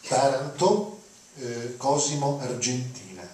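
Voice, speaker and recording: Distant, slow man announcing, echoey. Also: mid-range voice, expressive, a little mellow. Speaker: lecturer presenting the readings. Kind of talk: introducing readings. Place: auditorium with audience. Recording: echoey hall, distant, room noise.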